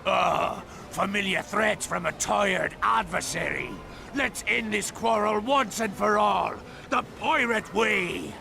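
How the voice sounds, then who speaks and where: Gravelly, blustering undead pirate captain. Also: male voice, sits high, slow pace, background noise, heavily acted. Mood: taunting challenge. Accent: stage pirate. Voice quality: gravelly, growling. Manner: theatrical, blustering old-time pirate speech. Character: undead pirate captain's disembodied head, gruff, bossy, villainous. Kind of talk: video game cartoon acting. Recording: loud music underneath.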